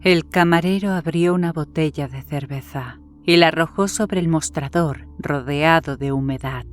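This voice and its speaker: A woman's voice: sits low, normal speed. A woman reading a horror story as a scripted audiobook narration. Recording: background music under the voice. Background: music.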